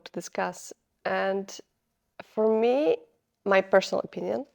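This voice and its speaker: A woman's voice: medium pitch, gravelly, a little mellow. A young woman with a Polish accent, talking unscripted to camera in a rambling way, stopping often to search for words. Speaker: vlogger. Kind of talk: unscripted casual vlog talk.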